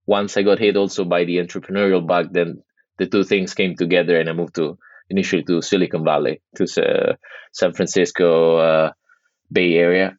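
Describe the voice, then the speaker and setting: Italian man, 30s, very low voice, hesitant podcast guest, muffled dry audio. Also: level tone, moderate pace, no room sound. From Italy. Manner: hesitant, many restarts and fillers. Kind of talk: casual interview conversation.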